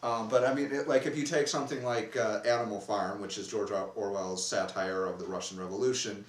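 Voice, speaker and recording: A man's voice: fairly low pitch, steady tone, fairly quick. A man co-hosting a casual discussion, rambling and breaking off mid-sentence to restart. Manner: rambling, self-interrupting. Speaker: co-host. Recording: echoey room, distant mic, faint noise.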